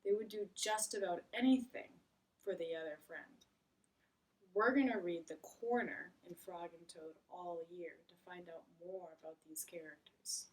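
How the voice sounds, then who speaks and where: A woman's voice: on the low side, moderate pace. A woman teacher leading a read-aloud lesson, speaking expressively to children and putting questions to them. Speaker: elementary school teacher. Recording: voice far from the mic.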